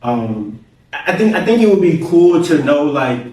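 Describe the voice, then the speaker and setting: A man's voice: fairly high pitch, big pitch swings, moderate pace, a little mellow, distant mic. A young American man hosting an interview, thoughtful and curious, with lots of "like" fillers. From United States. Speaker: podcast host. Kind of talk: casual interview conversation. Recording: echoey room, mic set back.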